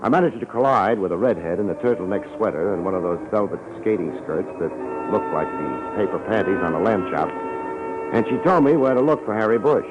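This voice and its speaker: An actor playing a cool, wisecracking hardboiled private detective, wry and laconic, in a 1950s radio drama. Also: male voice, lowish voice, expressive, a little fast, music in the background, close to an everyday voice. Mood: wry storytelling. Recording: muffled old radio recording.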